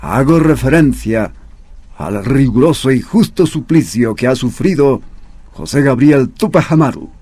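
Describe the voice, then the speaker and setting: An actor playing a stern Spanish colonial commander, reading a threatening letter aloud in a formal, measured, declamatory way for a radio drama. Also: male voice, mid-range voice, warm tone, dry recording.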